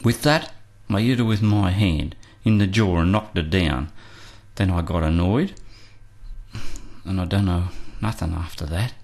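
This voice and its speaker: A man's voice: low-pitched, measured pace, darkish tone. A male actor plays an aggrieved man on trial in a radio play. He recounts his story in plain, colloquial words, like someone unschooled setting out his own side. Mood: aggrieved, agitated.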